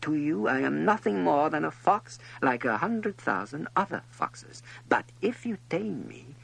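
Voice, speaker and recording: A man's voice: on the high side, big pitch swings, unhurried, gravelly, fairly bright tone, slightly rough, pitched above the performer's own voice, exaggerated voice. A man voicing an earnest, wise wild fox in a storybook reading, explaining things patiently.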